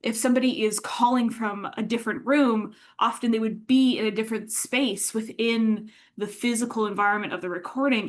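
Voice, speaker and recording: A woman presents an informal lecture in a voice of medium pitch. Her delivery is explanatory, that of a teacher talking an audience through her subject.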